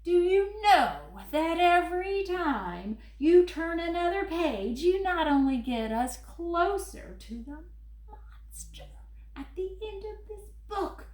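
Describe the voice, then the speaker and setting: A woman putting on a lovable furry monster from a storybook, high-pitched and fretful, who begs and gasps in a frantic, pleading way. The voice bounces around a roomy, echoing space. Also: very animated, slow pace, few pauses, a little mellow, full character voice. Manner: frantic, begging, gasping. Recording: roomy echo.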